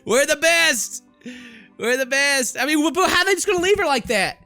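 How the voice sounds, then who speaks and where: A man's voice: very high voice, lively intonation, moderate pace, sharp tone, soft background music. A man doing jokey, exclamatory, slangy commentary on a horror game while reading its dialogue aloud. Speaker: let's player.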